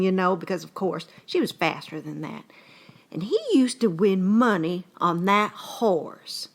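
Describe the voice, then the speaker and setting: A woman's voice: mid-range voice, fairly bright tone. A woman performing a comic short story as a rambling, folksy old-time yarn-spinner, with an earnest, conversational manner. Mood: amused, animated. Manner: folksy, drawn-out, conversational storytelling in rustic dialect. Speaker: actor reading aloud.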